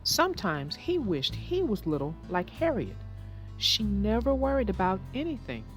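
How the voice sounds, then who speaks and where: A woman narrating a children's storybook aloud as host, expressive and sing-song, then chatting about the story. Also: medium pitch, normal speed, soft background music, rough recording.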